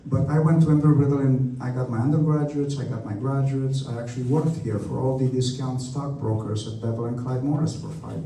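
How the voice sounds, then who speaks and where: A man's voice: mid-range voice, dark tone. Romanian-accented man in an echoey hall. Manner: friendly, talkative, self-deprecating. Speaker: airport representative. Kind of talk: Q&A at a community meeting. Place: church hall meeting room. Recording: echoey hall, speaker far from mic.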